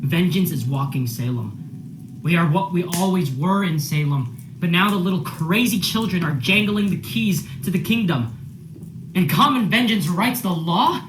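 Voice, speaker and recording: A man's voice: sits high, expressive, moderate pace, reverberant, over music, low-quality recording. A man playing a blunt, defiant, angry Puritan farmer and husband in a radio play. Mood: furious defiance. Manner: blunt, forceful, challenging.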